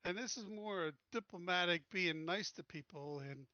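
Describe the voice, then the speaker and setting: A man's voice: high voice, moderate pace, bright, forceful tone, no room sound, highs cut off. A man playing a tabletop roleplaying game, bluntly talking through the terms of a deal in casual chat. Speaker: player.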